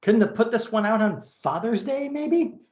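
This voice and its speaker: A man's voice: high voice, expressive, normal speed, a little mellow, dull audio. A man hosting a podcast, giving a wry, sarcastic and emphatic review. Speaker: podcast host. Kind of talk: wry comic book review. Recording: roomy, echoey sound.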